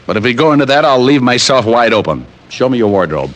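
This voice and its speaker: An actor playing a wry, unflappable private detective, narrating and trading wisecracks in old radio drama style. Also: male voice, mid-range voice, sing-song, fast, a bit bright. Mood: dry, unruffled. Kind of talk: 1940s-50s radio detective drama acting, hardboiled narration. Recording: vintage radio recording with music behind.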